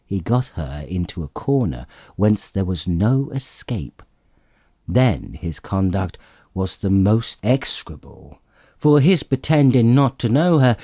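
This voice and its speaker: A man narrating a classic story as an audiobook, reading in an expressive, theatrical way with dramatic emphasis. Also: lowish voice, no room sound, clean studio sound.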